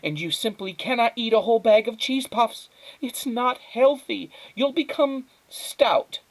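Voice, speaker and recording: A man's voice: extremely high pitch, a little slow, pitched above the performer's own voice, exaggerated voice, rough recording. A man voicing an exasperated, self-important mother in a storybook, scolding in an imperious, emphatic way. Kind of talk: storybook read-aloud character.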